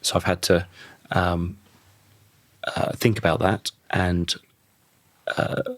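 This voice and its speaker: Croaky deep-voiced man, reflective guest. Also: little pitch movement, slow, fairly bright tone, dry recording. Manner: thoughtful, restarts sentences often. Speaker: podcast guest. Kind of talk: reflective conversational interview.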